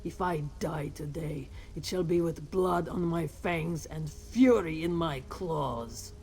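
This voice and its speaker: An actress playing a fierce, battle-hardened cat-folk fighter in a fantasy video game, with cool, deliberate, menacing swagger. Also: female voice, deep voice, a little mellow. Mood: proud, determined. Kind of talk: video game voice acting, dramatic fantasy dialogue. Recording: game audio with faint background ambience.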